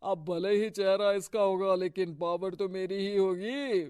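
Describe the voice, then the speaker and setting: A man playing a bossy, self-important corrupt husband in an animated moral story. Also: sits high, measured pace, clear, resonant tone, no room sound, clean studio sound. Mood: smug. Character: domineering corrupt husband, local strongman who runs his wife's office.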